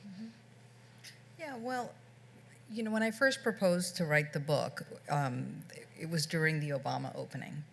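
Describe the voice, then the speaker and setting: A woman's voice: low-pitched, moderate pace, creaky, a bit bright. A middle-aged woman speaking as an author on a panel, reflective and often restarting her sentences. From United States. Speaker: author on a book festival panel. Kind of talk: panel discussion.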